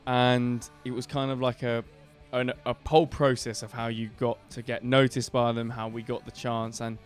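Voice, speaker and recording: A man with a booming voice, co-hosting a casual band podcast and telling a story in a rambling way with many fillers and restarts. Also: flat delivery, normal speed, soft background music. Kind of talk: casual chat.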